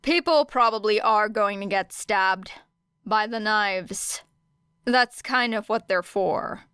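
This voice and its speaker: Woman as a terse, deadpan, weary teenage girl. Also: medium pitch, takes it slowly, clean tone, no room sound, studio quality. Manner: stiff, deadpan, clipped.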